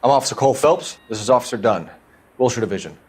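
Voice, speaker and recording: A man's voice: medium pitch, lively intonation, quick pace, a little mellow, slight background noise, close to an everyday voice. Earnest, by-the-book young patrol cop, played by a man. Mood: formal, confronting. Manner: formal, clipped, official. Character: young male police patrol officer, earnest, by-the-book, authoritative. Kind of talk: video game cutscene acting. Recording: music behind the voice.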